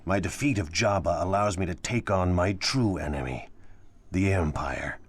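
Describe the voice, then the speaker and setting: A man's voice: sits low, moderate pace, husky. A man playing a ruthless, ambitious crime boss in a video game, speaking in a smug, imperious, commanding way. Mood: calculating. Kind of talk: cinematic video game acting.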